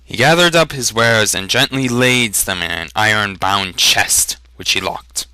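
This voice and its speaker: Deliberate man narrating, very bright crisp voice. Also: lowish voice, no room sound, rough recording. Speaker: amateur audiobook reader. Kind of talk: dramatic scripted fantasy narration.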